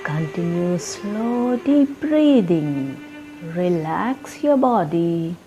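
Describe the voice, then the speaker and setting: A woman leading a guided relaxation meditation, giving soothing, gentle instructions with long pauses between phrases. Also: fairly low pitch, big pitch swings, dull audio. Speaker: yoga meditation guide and channel host. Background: soft instrumental music.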